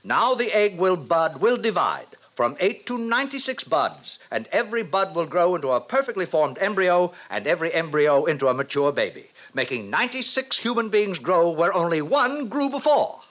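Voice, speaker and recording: An actor playing a pompous, lecherous middle-aged director lecturing theatrically in an old radio drama. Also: male voice, sits high, very animated, moderate pace, hardly any pauses, a bit bright. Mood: pompous, enthusiastic. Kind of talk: 1950s radio drama acting. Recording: noisy old radio broadcast recording.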